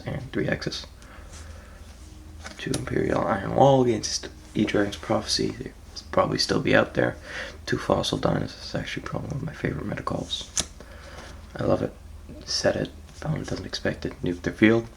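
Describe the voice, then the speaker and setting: A raspy, just-woken man, gravelly and low. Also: lively intonation, fairly quick, a little hiss or hum. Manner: laid-back, hesitant, frequent ums, trails off softly. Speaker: card game player presenting his deck. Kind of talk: casual deck walkthrough.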